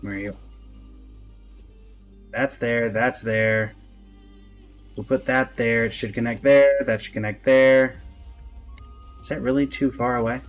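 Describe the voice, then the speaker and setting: A man's voice: medium pitch, fairly even intonation, a little slow, long pauses, faint music behind. A man giving casual gameplay commentary, talking to himself as he plays. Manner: talks to himself while playing. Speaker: game streamer.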